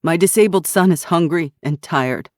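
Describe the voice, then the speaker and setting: A woman narrator voicing a grieving man, a mechanic and father who gravely recounts losing his wife and home. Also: fairly low pitch, normal speed, darkish tone, dry recording, clean studio sound, lightly acted. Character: grieving middle-aged male mechanic, widowed father.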